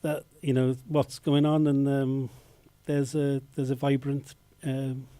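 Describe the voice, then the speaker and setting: A man, a filmmaker, answering questions at a festival Q&A with many pauses, fillers and restarts. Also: medium pitch, flat delivery, mellow, no echo. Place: cinema Q&A stage.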